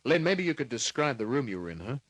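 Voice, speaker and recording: An actor playing a wry, hard-boiled private detective in an old-time radio drama, laconic, slangy and cynical. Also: male voice, mid-range voice, very animated, quick pace, a bit bright, no room sound. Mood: cool, probing.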